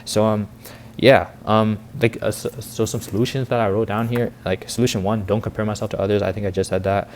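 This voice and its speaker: A man's voice: on the low side, steady tone, fairly quick, darkish tone, slight background noise. An eighteen-year-old man rambling in a casual, slangy, confessional vlog monologue, full of filler words. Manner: rambling, heavy youth slang with frequent "like" and "bro". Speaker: vlogger and content creator.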